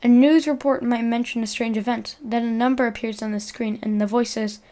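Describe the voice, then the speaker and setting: A woman's voice: fairly high pitch, fairly even intonation, a little fast, dark tone. A young woman narrating a video essay in an informal, earnest way, reading from a script and stumbling over words now and then.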